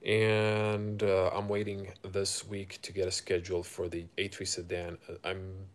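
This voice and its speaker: A man from Croatia with a Croatian accent, casually chatting to viewers as a car reviewer on a live stream, halting and thinking aloud. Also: low voice, completely flat delivery. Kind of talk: casual unscripted chat with viewers.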